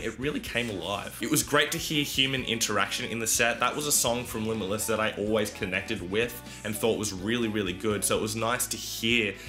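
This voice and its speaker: A man with a fairly low voice, a bit croaky from a cold, chats through a concert review with easy enthusiasm and leans hard on "really". Music plays clearly underneath him. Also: pushed and bright. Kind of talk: casual spoken concert review.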